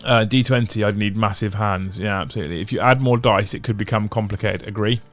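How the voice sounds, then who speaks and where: Guy hosting a live stream, talks things thru out loud, quiet music behind. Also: lowish voice, fast. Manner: chatty, thinks aloud with restarts and repeated phrases. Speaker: livestream host. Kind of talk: casual livestream chat reading viewer comments.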